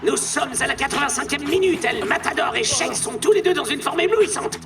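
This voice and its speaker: A man playing an excitable cartoon football commentator, loud and breathless. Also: very high-pitched, brisk, far from the mic, music in the background. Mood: excited.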